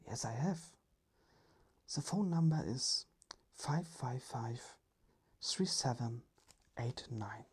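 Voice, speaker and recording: A storyteller voicing a polite, innocent little robot in a breathy, whispered ASMR storybook reading. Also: mid-range voice, expressive, slow pace, pauses often, a little mellow, exaggerated voice.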